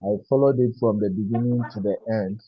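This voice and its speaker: A man's voice: on the low side, fairly quick, slightly rough, dry recording. A man speaking at an online meeting, hesitant and full of fillers, thanking a guest speaker. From Nigeria. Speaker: meeting participant. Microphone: video call. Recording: dull lo-fi call audio.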